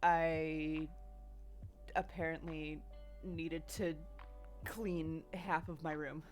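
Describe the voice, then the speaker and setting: A young woman with a slightly low voice chats on stream from her bedroom, sniffly from dust. She rambles and laughs as she talks, over faint background music. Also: fairly even intonation, drawn-out words. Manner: rambling, chatty, laughs often, reads chat aloud. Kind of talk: casual chat while drawing on stream. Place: home bedroom.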